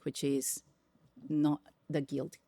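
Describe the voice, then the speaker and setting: Animated Spanish-accented woman, gravelly edge. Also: deep voice, slow, dry recording. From Peru. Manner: animated, emphatic storyteller. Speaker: podcast guest, tech executive. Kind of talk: casual interview conversation.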